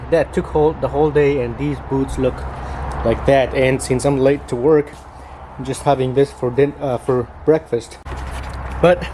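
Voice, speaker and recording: A young man from Guatemala, who grew up speaking Mam, talks through his day as a mobile mechanic in a chatty vlog, halting often with fillers and restarts. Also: medium pitch. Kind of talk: casual vlog narration. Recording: outdoor street noise behind.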